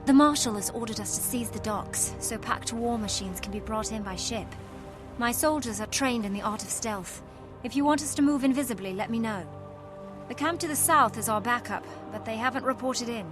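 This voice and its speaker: A woman's voice: fairly high pitch, normal speed, close to an everyday voice. A woman playing a stern, disciplined military officer in a video game, speaking in a formal, commanding way. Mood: grave, businesslike. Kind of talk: video game cutscene acting. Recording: loud game music behind.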